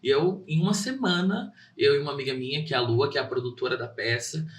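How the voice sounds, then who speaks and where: A young man talks about his theater work as a guest, earnest and heartfelt. His mid-pitched voice sounds roomy and a little distant from the mic. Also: quick pace, slight background noise. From São Caetano, Brazil. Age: young adult. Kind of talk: interview answers.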